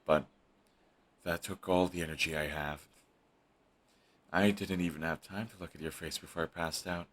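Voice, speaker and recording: A man's voice: low-pitched, fairly even intonation, normal speed, pauses often. A man voicing a brooding villain who is defensive and lovestruck, talking close and intimately to the listener. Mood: hushed, tender. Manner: intimate, shifts between hushed and heated. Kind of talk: audio roleplay monologue.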